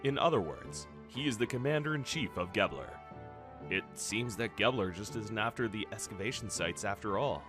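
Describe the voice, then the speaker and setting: Man playing a deep, grave ship's first officer. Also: expressive, lightly acted. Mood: grave briefing. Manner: measured, formal, serious. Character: grave, loyal male first officer and adviser. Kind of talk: fan radio-play acting. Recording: music behind the voice.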